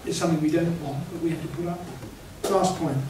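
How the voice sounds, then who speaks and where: A man on an academic panel speaking in a measured, scholarly way. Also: fairly high pitch, fairly even intonation. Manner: measured, scholarly, clears his throat. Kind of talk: academic round-table discussion. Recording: echoey hall, distant mic, background noise.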